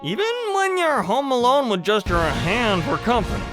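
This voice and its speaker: Very animated, sweary explainer host over music. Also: medium pitch, normal speed. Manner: animated, sarcastic, swearing, mock-dramatic. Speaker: video host. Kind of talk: casual, profane comedic explainer narration. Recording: background music under the voice.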